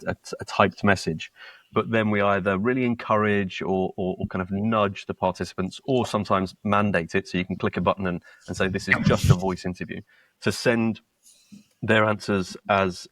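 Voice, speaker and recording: A British man speaks as a guest in a casual business interview. His voice sits low, and the sound is dry and close with no room echo. He talks haltingly, repeating words and restarting often. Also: fairly even intonation, fairly bright tone. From Britain. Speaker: co-founder and guest.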